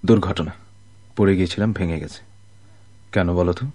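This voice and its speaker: An actor playing a sick, destitute wandering sailor in a radio drama, halting and pleading, with a weak, breathy voice. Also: male voice, deep voice, warm tone. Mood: weary, resigned. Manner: halting, repeats words, pleading. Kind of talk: Bengali radio drama acting.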